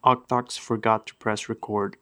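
A man's voice: on the low side, flat delivery, moderate pace. A man hosting a podcast, moving between a scripted topic read and plain casual talk. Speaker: podcast host.

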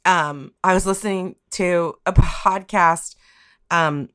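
A woman's voice: fairly low pitch, fairly even intonation, slow pace, clean tone. A woman from the United States in a casual podcast chat, talking in a wry, reflective, rambling way. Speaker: podcast guest discussing topic of the week.

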